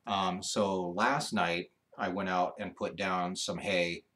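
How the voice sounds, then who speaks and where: A man in his forties co-hosting a casual ranch livestream from a living room couch, talking in an easygoing, halting way with lots of fillers. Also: sits low, fairly even intonation, bright, forceful tone. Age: 40s. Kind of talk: casual live chat.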